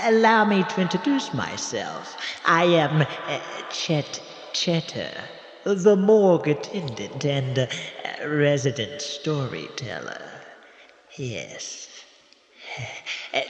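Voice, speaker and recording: An elderly morgue attendant spinning radio tales. He speaks very slowly and haltingly, with courteous hesitations and wide swings of pitch, in long echoing reverb. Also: exaggerated voice. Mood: obliging, eerie. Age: older. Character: odd, obliging old morgue attendant storyteller. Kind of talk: old-time radio horror-comedy narration. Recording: echoey hall with long reverb.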